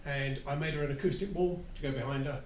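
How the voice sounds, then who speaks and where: A man's voice: on the high side, steady tone, fast. Echoey, distant British man chatting. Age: middle-aged or older. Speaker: audience member asking a question. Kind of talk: casual unscripted question at a panel. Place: convention panel room, recorded from a distance. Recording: echoey room, off-mic, background noise.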